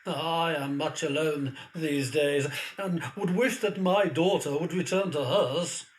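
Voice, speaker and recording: A man acts out an elderly aristocrat in an audio period drama, high in pitch and very unhurried. He is lonely and courteous, halting as he talks. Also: rough recording. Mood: wistful.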